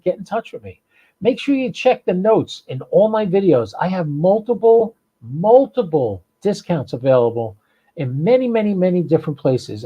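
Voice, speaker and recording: American male podcast host, fairly high pitch, relaxed dark tone, folksy off-the-cuff chat. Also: lo-fi sound. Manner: folksy, rambling, informal. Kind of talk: casual unscripted livestream chat.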